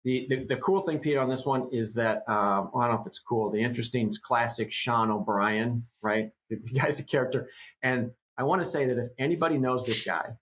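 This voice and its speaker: A middle-aged man in his fifties talks through industry news on a podcast. He rambles, trails off into fillers, and keeps a relaxed, conversational flow at a middle pitch. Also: brisk, a little hiss or hum, low-quality recording. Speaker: co-host. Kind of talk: casual podcast chat.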